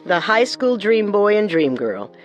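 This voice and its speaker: A woman's voice: medium pitch, big pitch swings, a little slow, muffled recording, faint music behind. A woman hosting a podcast with scripted true-crime narration. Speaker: podcast co-host.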